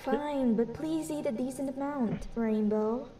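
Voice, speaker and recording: A woman's voice: high voice, measured pace. A woman playing a young pony princess, playful and bookish, in an amateur audio drama. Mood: firm. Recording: echoey, distant home mic under loud music.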